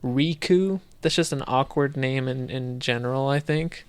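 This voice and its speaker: A man's voice: medium pitch, measured pace, smooth. A man co-hosting a podcast in casual chat, thinking aloud as he talks. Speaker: podcast co-host.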